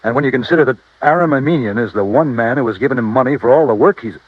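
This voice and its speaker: An actor playing an anxious, doubting associate of a detective in a vintage radio drama, speaking hesitantly and fretfully. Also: male voice, mid-range voice, very fast, no echo, lightly acted. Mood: uneasy. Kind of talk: 1950s radio drama acting. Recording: muffled vintage radio recording.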